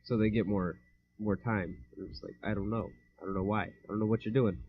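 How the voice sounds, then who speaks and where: A man's voice: lowish voice, steady tone, brisk, slightly rough, dry recording. A young man co-hosting a casual podcast, rambling, with many fillers and easy laughs. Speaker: podcast co-host. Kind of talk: casual chat. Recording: dull lo-fi home mic.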